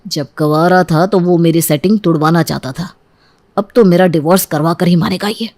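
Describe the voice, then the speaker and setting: A woman voicing an affectionate but jealous and sarcastic young husband in an animated story. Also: on the low side, moderate pace, lightly acted. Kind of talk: animated story dialogue in Hindi.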